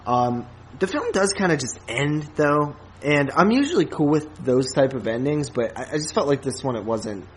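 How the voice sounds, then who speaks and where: A man's voice: on the high side, sing-song, moderate pace, hardly any pauses, a little hiss or hum, low-quality recording. A man hosts a casual film review chat. His talk is informal and slangy. He often halts and circles back over phrases before landing his point. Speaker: podcast host.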